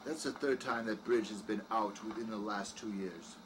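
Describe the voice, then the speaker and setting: A man's voice: fairly low pitch, moderate pace, close to an everyday voice. A man playing a courteous, formal, faintly sinister doctor welcoming guests to his mansion in an audio drama. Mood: dry, formal. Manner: formal, courteous, old-fashioned phrasing. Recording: faint background noise.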